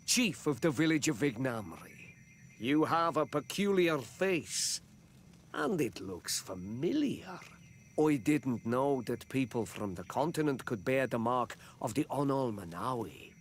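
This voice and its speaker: An actor playing a courteous, diplomatic native village chief in a video game, speaking formally and with measured solemnity. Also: male voice, mid-range voice, big pitch swings, slight background noise. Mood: courteous, grateful. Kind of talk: video game character dialogue.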